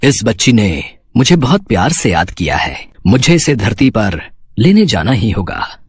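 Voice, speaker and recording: A man's voice: on the low side, sing-song, measured pace, slightly rough, exaggerated voice. A man voicing a kindly, princely moon spirit in a children's fairy tale, reassuring and grand. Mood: kindly, inviting.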